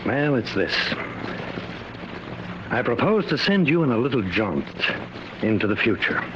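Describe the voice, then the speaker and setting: Grave professor, noisy old radio recording. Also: male voice, mid-range voice, very animated, measured pace, muffled recording. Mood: urgent, earnest. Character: grave, worried professor inventing time travel, fatherly. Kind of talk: 1950s radio drama acting.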